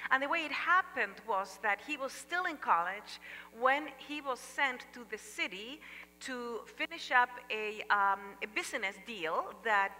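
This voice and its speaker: An older woman with an Argentine Spanish accent gives a lively chapel talk, telling a family story with humorous asides. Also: on the high side. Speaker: chapel speaker. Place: large church sanctuary stage. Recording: long reverb of a large hall.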